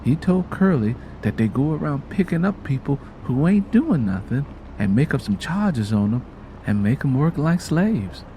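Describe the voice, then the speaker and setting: Mellow mother, sorrowful and folksy man voicing her. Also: mid-range voice, sing-song, normal speed, slight background noise. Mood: somber. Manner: plainspoken, folksy, reflective storytelling. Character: sorrowful Southern mother recounting hard family history.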